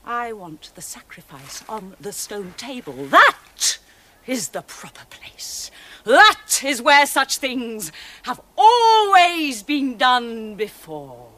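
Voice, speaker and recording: Imperious, shrill evil witch queen, wildly theatrical. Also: big pitch swings, slow, exaggerated voice. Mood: commanding, ruthless. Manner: grandiose, incantatory, swinging from hushed to shrieking. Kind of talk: theatrical fantasy drama acting. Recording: faint background noise.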